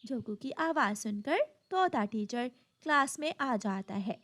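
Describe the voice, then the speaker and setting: A woman's voice: on the high side, lively intonation, unhurried, fairly bright tone. A woman narrating a scripted children's cartoon story.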